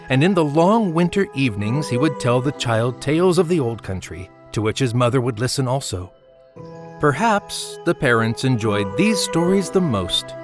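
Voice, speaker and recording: A man narrating a literary tale as a measured, slightly wry storyteller in an audio drama. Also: mid-range voice. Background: music.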